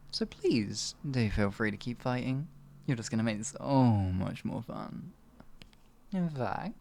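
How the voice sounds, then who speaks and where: A man playing a slow, teasing sadistic wizard. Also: fairly low pitch, creaky, darkish tone. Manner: teasing, cooing, drifts into whispers. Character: sadistic male wizard, cruel and doting. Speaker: voice actor. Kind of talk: ASMR fantasy roleplay.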